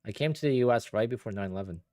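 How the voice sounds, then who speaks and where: Low-voiced man chatting on a livestream. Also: racing, dry recording, studio quality. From Poland. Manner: relaxed, rambling, thinks aloud with restarts. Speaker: day trader streaming live. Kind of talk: casual livestream chat answering viewers.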